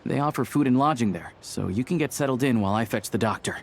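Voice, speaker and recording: A man's voice: mid-range voice, animated, quick pace. A man playing an easygoing hunter and town guide in a video game, speaking in a casual, helpful, matter-of-fact way. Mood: wry, friendly. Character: easygoing young male small-time hunter acting as town guide. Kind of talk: video game character acting.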